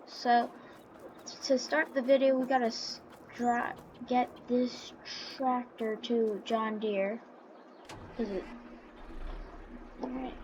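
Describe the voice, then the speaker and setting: A woman doing casual, halting gameplay roleplay commentary for videos, in an informal, unscripted way. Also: a little high, darkish tone. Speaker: gaming YouTuber. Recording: dull lo-fi mic, faint background noise. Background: vehicle engine sounds from the game.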